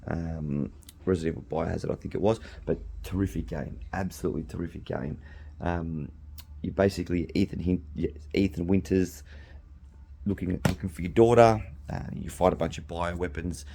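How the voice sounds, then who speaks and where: A middle-aged Australian man hosting a podcast alone, slightly hoarse from losing his voice, rambling casually with frequent ums and restarts. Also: low-pitched, steady tone, normal speed, dark tone. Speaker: podcast host. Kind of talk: casual solo chat.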